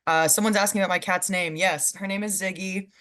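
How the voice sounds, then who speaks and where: A young woman in her twenties with a low-pitched voice chats over a video call. She talks in a loose, friendly way and leans on "um" a lot. She laughs easily as she goes. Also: fairly even intonation, fairly quick, a bit bright. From California. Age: 20s. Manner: chatty, many "um"s, laughs easily. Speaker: guest panelist. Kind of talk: casual chat on a video call.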